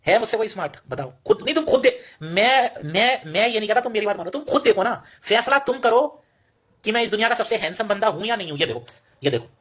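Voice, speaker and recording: A man's voice: sits high, animated, a bit bright. A man in casual banter, insistent and repeating himself.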